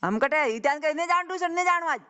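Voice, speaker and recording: A woman's voice: sits high, animated, rapid-fire, pushed and bright. A woman with a Rajasthani accent talking casually and bluntly on a phone call, often repeating herself. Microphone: telephone. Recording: dull lo-fi phone call recording.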